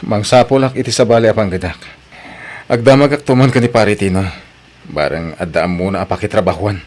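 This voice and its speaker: A man's voice: on the low side, fairly quick, a little mellow, close to an everyday voice. A man playing a hard-working husband and father in need of a job, in an Ilocano radio drama with an Ilocano Filipino accent. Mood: downcast.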